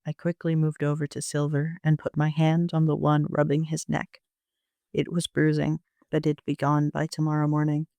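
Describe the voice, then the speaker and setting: A man's voice: a little high, completely flat delivery, normal speed, vocal fry, darkish tone, dry recording. A man narrating a first-person audio drama as an amateur reader, in an understated storytelling manner.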